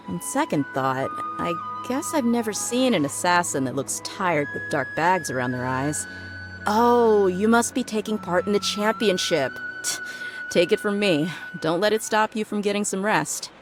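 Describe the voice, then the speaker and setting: A woman plays a young female mercenary from a video game in a fairly low voice. She is blunt and tough but easygoing, with faint music underneath. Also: natural voice. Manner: blunt, casual.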